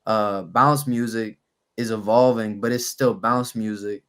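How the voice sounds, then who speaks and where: A young man with a naturally raspy, slightly low voice speaks as a podcast guest. He talks in a laid-back, unhurried way, pausing often to gather his thoughts. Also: fairly even intonation, fairly bright tone. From New Orleans, USA. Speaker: guest rapper. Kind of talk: casual podcast interview.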